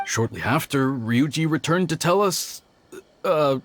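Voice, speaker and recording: A man's voice: fairly high pitch, animated, measured pace, a little hiss or hum. A man playing a nervous, deferential junior police officer in a video game, hesitant and stammering. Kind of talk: scripted video game dialogue. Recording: music behind the voice.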